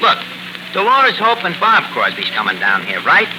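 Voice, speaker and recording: A man's voice: high-pitched, huge pitch swings, normal speed. An actor playing a bumbling, cocky male tavern manager with a New York accent, wisecracking and mangling words in a 1940s radio comedy. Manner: wisecracking, self-important malapropisms. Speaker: comedy show lead. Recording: noisy 1940s radio broadcast recording.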